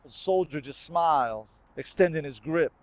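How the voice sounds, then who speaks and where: A man's voice: on the high side, lively intonation, normal speed, no room sound. A man performing rhymed spoken word poetry with a rhythmic, emphatic and earnest delivery.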